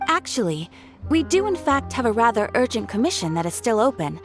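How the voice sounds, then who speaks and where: A woman's voice: fairly high pitch, sing-song, brisk, faint music behind. An actress playing a polite, formal female guild receptionist in a video game.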